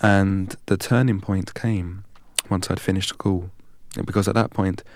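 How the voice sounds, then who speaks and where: Low, flat-voiced man from England. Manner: measured and deliberate, recites long strings of numbers evenly. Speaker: interview guest. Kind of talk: reflective storytelling in an interview.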